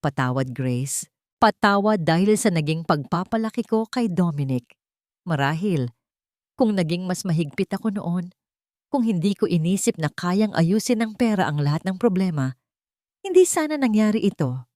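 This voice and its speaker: Woman reading as a solemn, remorseful old grandfather, low-voiced. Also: big pitch swings, normal speed, dry recording, studio quality. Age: older. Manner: soft-spoken, solemn, apologetic. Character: remorseful, powerful wealthy grandfather, gentle and earnest.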